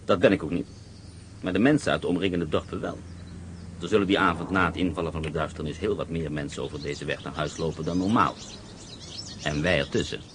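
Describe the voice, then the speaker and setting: A scheming prisoner of war with a very low voice, calm and calculating. The radio play recording has a clear hiss behind him. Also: male voice, a little fast. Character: cunning German POW escape planner.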